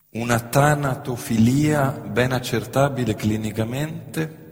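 An actor on stage playing a grave, guilt-ridden father, declaiming slowly and weightily. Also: medium pitch, natural voice. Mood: accusing. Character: grave middle-aged man, a guilt-ridden father confessing. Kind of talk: theatrical monologue. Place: theater stage. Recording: long reverb of a theater hall.

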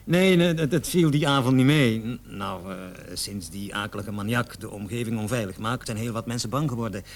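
A man's voice: mid-range voice, fairly quick, slight background noise, natural voice. An actor in a radio play, a man playing a cocky travelling salesman in his thirties, defensive and hesitant under police questioning. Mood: flippant, boastful. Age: 30s. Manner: hesitant, stammering, protesting with nervous chuckles.

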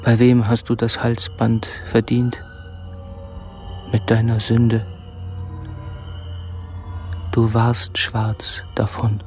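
An actor playing a tormented, brooding murderer in a radio drama, speaking haltingly and often dropping into muttered whispers. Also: male voice, medium pitch, monotone, darkish tone, faint music behind. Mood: dazed, eerie. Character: tormented, brooding man turned murderer of his lover. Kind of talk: literary radio drama acting.

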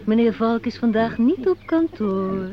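An actress playing a patient, prim receptionist who gently chides as if to a child in a radio play. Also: female voice, on the high side, lively intonation, measured pace. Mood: patient, chiding. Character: patient, prim female receptionist coaxing an absent-minded colleague. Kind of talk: Dutch radio play acting. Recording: dull lo-fi recording, crowd behind.

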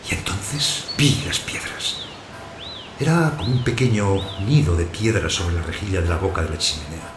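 An actor as deep-voiced, lonely narrator, roomy sound. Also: male voice, moderate pace, close to an everyday voice. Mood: absorbed, quietly elated. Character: lonely abandoned householder narrating his own strange encounter. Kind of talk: first-person literary narration in audio fiction. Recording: roomy echo, clear background noise.

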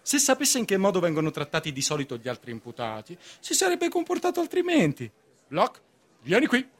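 An actor playing a condescending, domineering lawyer with a pompous, mocking delivery in a radio drama. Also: male voice, high-pitched, huge pitch swings, fairly quick, full character voice. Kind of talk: theatrical radio acting.

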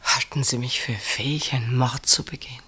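A woman's voice: rumbling low, fairly even intonation, breathy edge, heavily acted. An actress in a German radio play, playing an anguished woman secretly in love with her sister's husband, intense and dramatic. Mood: stunned, hushed. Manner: intense, dramatic, swinging from outcry to hushed halting speech.

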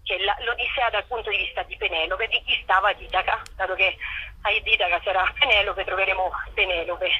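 Female phone-in guest, husky edge, forceful tone, animated and chatty explainer, telephone sound. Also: medium pitch, fairly quick. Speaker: interview guest, theatre company leader. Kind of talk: conversational phone interview. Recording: telephone line on radio.

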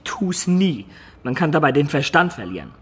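A man's voice: fairly high pitch, very animated, moderate pace, breathy edge, exaggerated voice. An actor playing a wry medieval adventurer who recounts his youthful exploits in a radio drama. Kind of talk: narrating storyteller in German radio drama.

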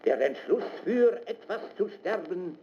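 High-pitched preaching orator, radio drama, pompous, slow and deliberate, swooping intonation, muffled recording. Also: male voice, animated. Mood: solemn, exalting. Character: solemn orator preaching soldierly sacrifice to children. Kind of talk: declamatory radio drama monologue. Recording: dull, noisy lo-fi sound.

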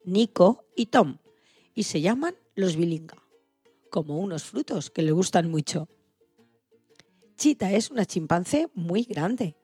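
A woman's voice: on the low side, mellow, husky, no echo. A woman narrating a scripted children's story. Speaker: co-host of an animal-stories programme for all ages.

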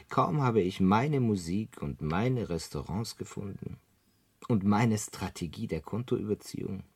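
A hapless everyman from Earth in a radio play, polite and out of his depth. His voice sits fairly low and is breathy and husky, with a rueful, self-pitying delivery. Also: a little mellow, full character voice. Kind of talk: radio drama acting.

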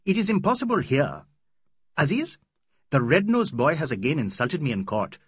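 A man's voice: a little high, big pitch swings, moderate pace, breathy edge, no room sound, clean studio sound, voice pushed up, full character voice. A man voicing a gloomy, aggrieved male lawyer who complains with raised voice.

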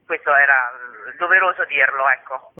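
A woman talks informally as a radio interview guest, with a fairly low-set voice. She is chatty and spontaneous, heard through a narrow telephone line. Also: moderate pace. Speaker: festival curator, interview guest.

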